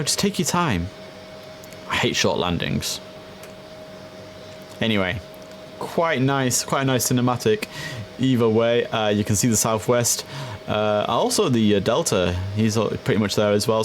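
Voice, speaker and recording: A young man talks loosely and warmly through his gameplay, his voice sitting fairly low. He drifts along with a chatty, easygoing flow and plenty of filler sounds, over a faint hiss of background noise. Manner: chatty, relaxed, with frequent fillers. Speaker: gaming streamer. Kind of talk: casual gameplay commentary.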